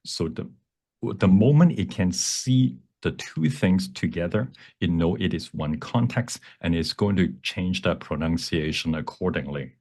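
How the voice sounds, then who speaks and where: A man teaching a live software demonstration, explaining step by step in a halting, self-repeating way and switching between two languages. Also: low voice. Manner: bilingual, switches between English and Cantonese. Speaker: workshop presenter, instructor.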